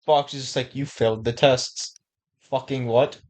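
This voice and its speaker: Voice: medium pitch, steady tone, unhurried, darkish tone. A reaction co-host chatting casually, with crude jokes and mock-scared commentary. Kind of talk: casual joking reaction chat.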